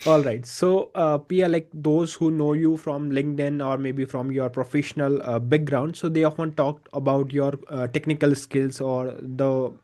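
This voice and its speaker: A man's voice: on the high side, fairly even intonation, moderate pace, hardly any pauses, warm tone. A man hosting an interview podcast in a polite, formal way. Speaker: podcast host.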